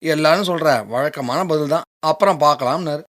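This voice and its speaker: A man's voice: on the high side, brisk, fairly bright tone, no room sound, clean studio sound, lightly acted. An actor playing an idle, evasive young male freeloader in a Tamil radio drama. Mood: casual, evasive. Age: young adult. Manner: evasive, stammering excuses.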